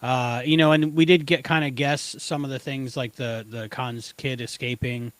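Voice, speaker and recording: A man co-hosting a casual fan podcast, speaking informally in halting, hesitant phrases. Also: mid-range voice, fairly even intonation, no echo. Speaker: podcast co-host.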